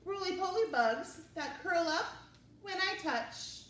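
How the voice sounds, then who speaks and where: A woman's voice: very high voice, animated, measured pace, fairly bright tone. A woman presenting story time for young children, reading aloud and chatting in a singsong, encouraging way. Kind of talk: children's read-aloud and chat to young viewers. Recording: roomy, distant mic, faint background noise.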